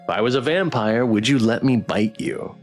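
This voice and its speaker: A man's voice: mid-range voice, moderate pace. A man streaming a game, chatting casually as he reads game text and viewer comments aloud. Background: game music.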